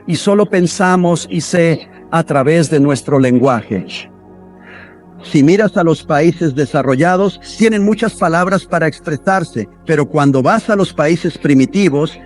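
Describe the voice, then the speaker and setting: A man delivering a sermon as a voice-over, in an earnest, steady preaching manner. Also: fairly high pitch.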